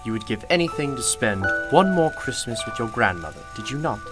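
A patient, wise man of mystery, played by an actor, keeper of a magical shop in a radio drama. His mid-pitched voice is formal, measured and courteous, with loud music swelling behind it. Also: very animated, natural voice. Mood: knowing, kindly. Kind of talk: radio play acting.

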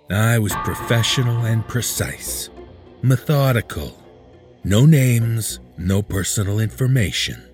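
A man narrating a first-person horror story in a terse, cold, clipped way. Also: on the low side.

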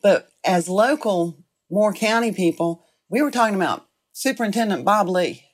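Older woman, interviewee, mid-pitched voice, reflective recollection, deliberate drawn-out phrasing. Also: expressive. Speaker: interviewee, former school board member.

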